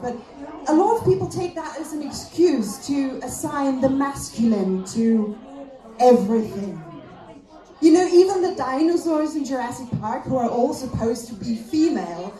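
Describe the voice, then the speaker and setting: A woman, a German speaker, performing a self-deprecating, conversational stand-up routine in English with halting pauses, recorded live in a venue. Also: high-pitched, warm tone. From Austria. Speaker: stand-up comedian. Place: comedy festival venue, live on stage. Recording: echoey live room recording.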